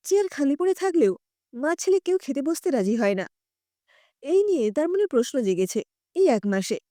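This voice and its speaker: A woman's voice: high voice, dark tone, dry recording, clean studio sound. A woman narrating a scripted story expressively as a storyteller.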